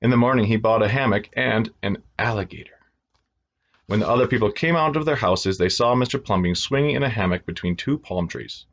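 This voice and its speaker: A man reading a children's storybook aloud in an expressive storytelling style for young listeners. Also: on the low side, a little fast, muffled recording.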